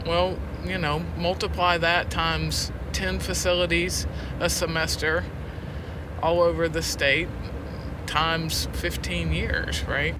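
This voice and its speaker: A woman's voice: fairly low pitch, flat delivery, takes it slowly. A woman teaching a class informally, stressing key words emphatically. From Alabama, United States. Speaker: writing teacher in a prison. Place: prison classroom. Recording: location recording with clear background noise.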